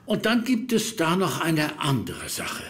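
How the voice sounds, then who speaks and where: An actor playing a formal, somewhat halting police superintendent in an audio drama. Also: male voice, a little high, wildly swooping pitch, a bit bright, exaggerated voice. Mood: serious, official. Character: stiff, formal older male police superintendent briefing subordinates.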